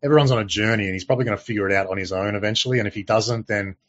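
A man's voice: lowish voice, racing, fairly bright tone, no room sound. A man co-hosting a livestream, chatting casually and teasing his co-host, with a chatty run of fillers. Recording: dry, slightly muffled stream audio.

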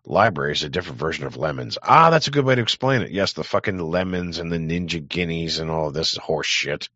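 Male livestream host, low and creaky, profane rambling rant, sardonic delivery, close dry but muffled recording. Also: animated, brisk, pushed and bright, no echo. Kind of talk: rambling political rant to live chat.

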